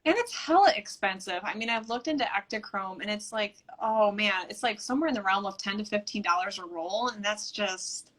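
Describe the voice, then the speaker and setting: Bright-voiced, chatty woman guest. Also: mid-range voice, lively intonation, a little fast, hardly any pauses. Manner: eager, self-deprecating, chatty. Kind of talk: casual chat on a live stream. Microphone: video call.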